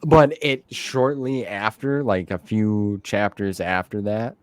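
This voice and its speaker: A man with a low voice in a close, dry recording. He hosts a relaxed fan conversation, speaking informally and often repeating a phrase to underline it. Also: slow pace, clean tone, no echo. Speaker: podcast host interviewing a guest. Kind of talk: casual fan conversation about novels.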